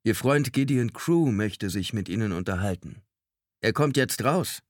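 A man voicing a calm, avuncular police negotiator in an audiobook, projecting deliberately and reassuringly as if through a megaphone. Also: lowish voice, dry recording, studio quality, voice pushed up. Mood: patient, reassuring. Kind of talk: audiobook character acting.

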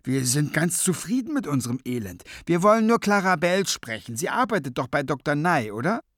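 A man's voice: on the high side, sing-song, fairly quick, fairly bright tone, dry recording, clean studio sound, pitched above the performer's own voice. A man voicing a dim, good-natured zombie servant who is earnest, naive and eager to please.

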